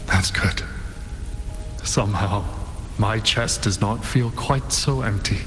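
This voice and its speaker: A fallen soldier, weary and wistful, in a game drama. His low voice moves very slowly and haltingly, ringing in a long reverb with faint music behind. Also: a bit bright. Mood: wistful relief. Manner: slow, halting, solemn.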